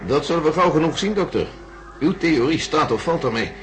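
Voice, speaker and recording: Dry direct questioner, lively pitch, noisy radio play. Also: male voice, brisk. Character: dry, questioning male assistant. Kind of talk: radio drama acting.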